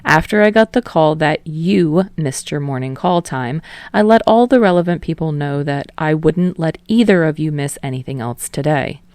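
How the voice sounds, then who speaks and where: A woman's voice: low-pitched, expressive, moderate pace, a little mellow, no echo. A woman voicing an unflappable, wry talent manager, a man who speaks with pointed, exasperated emphasis in a story reading. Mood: wry, assured.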